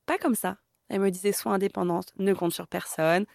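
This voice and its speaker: A woman's voice: medium pitch, steady tone, brisk, vocal fry, a little mellow, no echo, studio quality. A woman in her thirties from Paris, speaking candidly in a conversational way as an interview guest. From Paris, France. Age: 30s. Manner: candid, conversational, many fillers.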